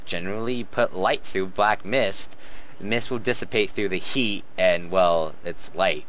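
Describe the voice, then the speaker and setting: A man's voice: deep voice, unhurried, a bit bright. A man reading fan fiction aloud for laughs, with casual banter and frequent giggly breaks. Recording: muffled, noisy home recording.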